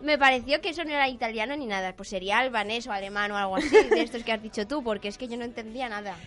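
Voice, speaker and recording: A woman's voice: on the high side, racing, fairly bright tone. A woman with a Spanish accent from Spain, co-hosting a casual radio podcast, playful and jokey with lots of laughter. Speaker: podcast co-host.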